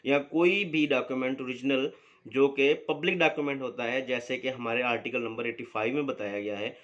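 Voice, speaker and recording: A man giving a law lecture as an advocate, explaining step by step with many fillers and reading legal text aloud slowly. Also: mid-range voice, steady tone, fairly bright tone, muffled recording.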